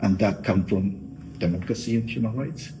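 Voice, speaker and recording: A man's voice: fairly low pitch, moderate pace, mellow, slight background noise, poor audio. A middle-aged man from Japan with a Japanese accent, a guest giving thoughtful interview answers with many fillers like "you know".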